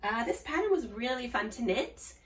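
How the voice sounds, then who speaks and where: A woman in her forties with an Australian accent hosts a knitting podcast, chatting casually with lots of ums and restarts, her voice a little hoarse from a cold. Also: a little high, sing-song, moderate pace, recorded from a distance, slight background noise. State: slightly hoarse, recovering from a cold. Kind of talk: casual show-and-tell chat.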